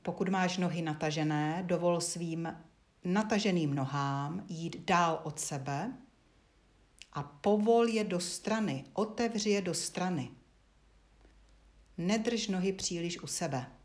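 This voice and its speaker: A woman instructor guiding a body-awareness lesson, giving short spoken directions with frequent pauses. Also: fairly low pitch, darkish tone.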